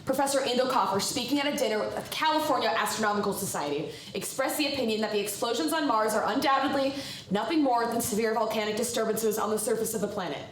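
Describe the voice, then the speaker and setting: A woman playing a formal, brisk 1930s radio announcer reading news bulletins in a radio play. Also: fairly high pitch, slight background noise, lightly acted. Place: live stage performance in a hall. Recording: very echoey hall, distant, faint music.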